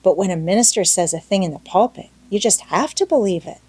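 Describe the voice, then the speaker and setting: A woman acts out a young orphan girl in an audiobook. She is talkative and dreamy, gushing with earnest drama. The tone stays soft and mellow, recorded very dry. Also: medium pitch, a little fast, slightly rough, no echo, natural voice. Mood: thrilled. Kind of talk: audiobook character acting.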